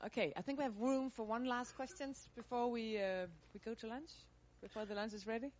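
A woman moderating a workshop Q&A, brisk and lightly joking. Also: fairly high pitch, fairly even intonation, darkish tone, lo-fi sound. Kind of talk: running a Q&A session.

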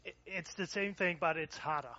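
A workshop presenter answers audience questions in English, his voice pitched somewhat high. He restarts often and repeats words as he searches for phrasing. The recording is dull and lo-fi. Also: normal speed, pushed and bright. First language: Danish.

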